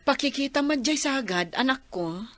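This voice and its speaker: A woman playing a frail, gentle mother who is losing her sight in a Filipino radio drama, speaking with a Filipino accent. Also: sits high, expressive, normal speed. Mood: gentle, weary.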